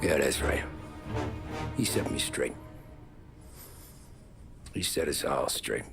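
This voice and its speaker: Cocky 1930s mob driver, very low voice, laconic, casual game dialogue under loud music. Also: male voice, expressive, takes it slowly, creaky, full character voice. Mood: casual, defensive. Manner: laconic, informal. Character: casual, cocky working-class 1930s American gangster driver. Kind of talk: video game cutscene acting.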